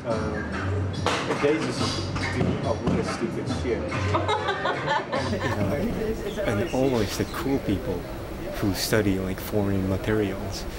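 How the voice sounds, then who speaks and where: A man speaks bluntly in a somewhat low voice. He pauses on "uh" between his thoughts. Music plays loudly behind him. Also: lively intonation. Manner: blunt, frank, with filler "uh". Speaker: interviewee. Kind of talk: casual conversation.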